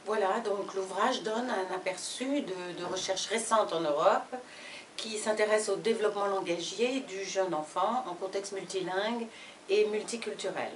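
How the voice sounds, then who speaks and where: A woman, a university professor and sociolinguist, presenting a book in a fluent, measured way. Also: mid-range voice, a little hiss or hum. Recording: echoey room from far off.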